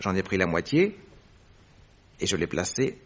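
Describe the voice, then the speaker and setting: An actor playing a self-assured, analytical gentleman detective with dry wit in a French radio drama, speaking deliberately and formally. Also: low voice, huge pitch swings, breathy edge, muffled recording, exaggerated voice. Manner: deliberate, precise, formal phrasing.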